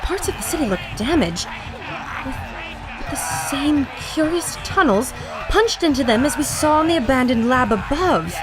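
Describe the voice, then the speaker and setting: Earnest female adventure reporter, actress, higher register, narrating a dramatized field recording for an audio drama. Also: big pitch swings, normal speed, over music, rough recording. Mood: tense, observant.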